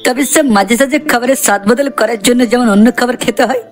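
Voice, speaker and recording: A man's voice: very high-pitched, fast talker. A man voicing an eager comic cartoon sidekick, peppering the talk with repeated filler words. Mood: chatty. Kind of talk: cartoon character addressing viewers. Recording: voice over background music.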